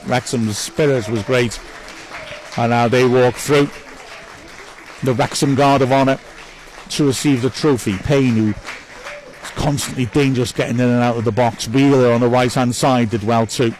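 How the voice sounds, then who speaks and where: A man's voice: medium pitch, fairly even intonation, normal speed, a little mellow, a faint crowd, a little hiss or hum, low-quality recording. A man giving live club commentary on a football match, reading out viewer messages and chatting upbeat about each player.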